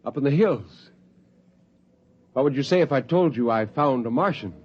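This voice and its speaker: An actor playing a brooding, literate spaceman turned zealot, intense and deliberate, in a 1950s radio drama. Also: mid-range voice, expressive, lightly acted. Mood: brooding, quietly menacing. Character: brooding, poetic male spaceman turned cold-blooded zealot. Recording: dull vintage 1950s radio recording.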